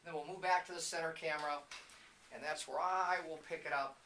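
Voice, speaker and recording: A man with a very bright, forceful, somewhat high voice gives an informal walking tour as a presenter. He talks off the cuff in a chatty, casual style, with light room noise behind him. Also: steady tone, a little fast, poor audio. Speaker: CEO and presenter of a training company, giving a tour. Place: indoors, walking through a video production facility.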